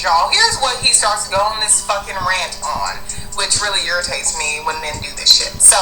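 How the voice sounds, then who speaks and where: A woman's voice: mid-range voice, pushed and bright, reverberant, far from the mic, poor audio. A woman in her late twenties telling a dating story in an exasperated, profane casual rant. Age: 20s. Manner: exasperated, profane, addresses viewers as y'all.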